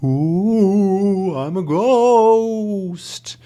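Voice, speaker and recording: A man's voice: high voice, lively intonation, extremely slow, dark tone, voice pushed up, exaggerated voice. A man voicing a menacing, mocking hidden villain who poses as a captain's ghost in a story read-aloud. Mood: gloating.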